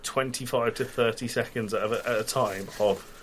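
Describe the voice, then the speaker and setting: A man's voice: medium pitch, steady tone, normal speed, a little hiss or hum. A British man chatting casually as a podcast host, giving blunt, critical opinions. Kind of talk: casual chat, opinionated review.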